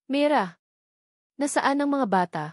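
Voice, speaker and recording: A woman's voice: high voice, expressive, measured pace, no echo, clean studio sound, voice pushed up. A woman voicing a determined adult son in an audio drama, emphatic and full of accusation toward his mother. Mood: alarmed.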